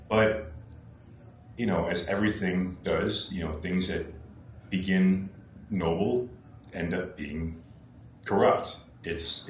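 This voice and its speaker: A man's voice: sits low, roomy sound, a little hiss or hum. A man talking as a political candidate on a podcast, earnest and repeating his points for emphasis. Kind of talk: podcast discussion.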